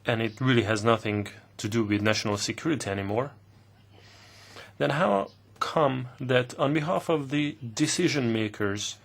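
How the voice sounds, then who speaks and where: A male interpreter with a mid-pitched voice that has a gravelly, creaky texture. He keeps a steady, formal delivery as he renders a committee member's speech into English. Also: normal speed. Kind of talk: simultaneous interpretation.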